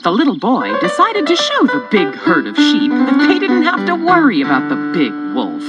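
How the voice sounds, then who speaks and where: A narrator reading children's storybook tales in an expressive, theatrical way, with music behind. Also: medium pitch, normal speed. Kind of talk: scripted storybook narration, rhyming in places. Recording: music behind, from cassette tape.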